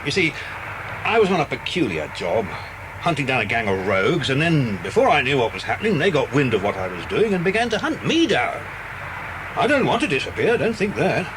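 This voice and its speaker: An actor playing a genial, reassuring British detective and family friend in a children's audio drama. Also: male voice, very animated, brisk, lightly acted. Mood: hearty, reassuring. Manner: hearty, avuncular. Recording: noisy cassette with vehicle rumble.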